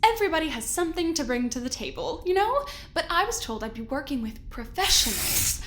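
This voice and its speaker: A woman playing an ambitious, quietly ruthless boss in an audio drama, mock-sweet, condescending and theatrical, with drawn-out emphasis. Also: sits high, big pitch swings. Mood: feigned patience.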